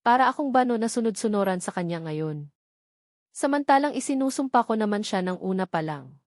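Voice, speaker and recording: A woman's voice: mid-range voice, lively intonation, a little fast, dry recording, studio quality. A woman with a Filipino accent reading a scripted first-person romance story as an audiobook narrator.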